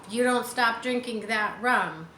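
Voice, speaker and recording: A woman's voice: fairly high pitch, a little slow, pushed and bright. A woman voicing a stern, fearless male village doctor in a storybook, speaking in firm, admonishing warnings. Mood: grave advice. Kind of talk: storybook dialogue read aloud. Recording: roomy echo, faint background noise.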